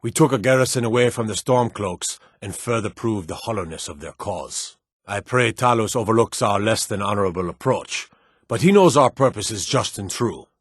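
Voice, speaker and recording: A man playing a stern, battle-hardened military officer in a fantasy video game, brisk, commanding and gruffly matter-of-fact as he gives orders. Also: lowish voice, animated, dry recording, clean studio sound. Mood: approving.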